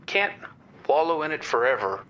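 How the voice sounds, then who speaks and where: An actor playing an awkward father who leaves a halting voicemail full of fillers. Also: fairly high pitch, big pitch swings, a bit bright, a little hiss or hum. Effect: answering machine playback. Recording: dull voicemail playback.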